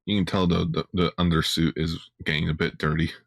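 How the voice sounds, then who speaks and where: Man, podcast co-host, creaky lowish voice, dry close sound, casual chat. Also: little pitch movement, brisk, dry recording. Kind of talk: casual chat, fan discussion.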